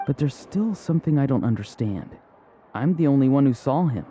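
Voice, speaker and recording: Man playing a mellow, grave young warrior. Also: mid-range voice, lively intonation, slight background noise, close to an everyday voice. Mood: serious, puzzled. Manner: measured, serious. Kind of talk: English video game character acting.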